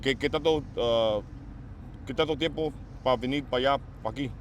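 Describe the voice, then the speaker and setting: A man conducting an informal outdoor interview, asking halting questions in slangy speech with English slipping in. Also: medium pitch. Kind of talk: casual street interview. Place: outdoors at a store parking lot. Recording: outdoor street noise, traffic.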